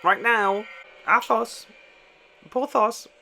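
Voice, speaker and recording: Slow-paced, expressive reaction host. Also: mid-range voice, vocal fry, faint music behind. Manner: emotionally expressive, exclamatory. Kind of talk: casual reaction commentary.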